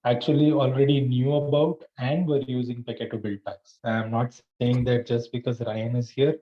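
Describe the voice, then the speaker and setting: A man's voice: medium pitch, little pitch movement, moderate pace. A man talking casually to colleagues in a meeting, phrasing things piecemeal and a little hesitantly. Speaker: meeting participant. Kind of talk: casual anecdotal meeting talk. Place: online video call. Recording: slightly dull call audio.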